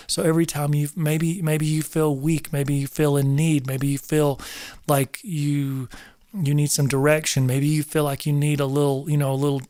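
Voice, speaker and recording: A man's voice: a little high, a little mellow, no room sound, clean studio sound. A middle-aged man from North Georgia, talking casually and earnestly to viewers of his live show, rambling and repeating himself with preacherly asides. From North Georgia, US South. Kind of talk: casual livestream talk to viewers.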